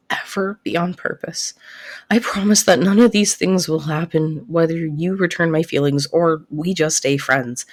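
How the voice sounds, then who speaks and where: Woman as devoted best friend in love: soft-spoken, slightly low voice, earnest and protective, intimate roleplay. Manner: earnest, reassuring, halting when flustered.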